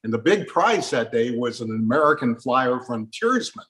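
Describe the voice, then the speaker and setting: A man's voice: mid-range voice, big pitch swings, moderate pace, fairly bright tone. A man hosting a casual conversational interview, chatting with his guests and putting questions to them. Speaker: host.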